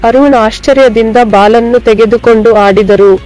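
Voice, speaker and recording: A woman's voice: on the high side, fairly even intonation, normal speed, darkish tone, faint music behind, low-quality recording. A woman narrating scripted moral tales for children.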